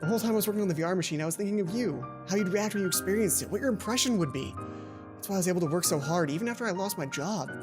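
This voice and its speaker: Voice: medium pitch, expressive, very fast, lightly acted. Earnest smitten schoolboy, as a game streamer reads him over music. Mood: tender, earnest. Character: earnest, smitten schoolboy confessing his feelings.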